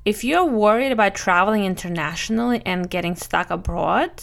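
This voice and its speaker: A woman's voice: medium pitch, gravelly, fairly bright tone. A woman in her thirties with a Russian accent mixed with some Brooklyn, hosting a conversational, scripted solo travel podcast. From Europe, moved to the US in youth. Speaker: podcast host, family travel planner.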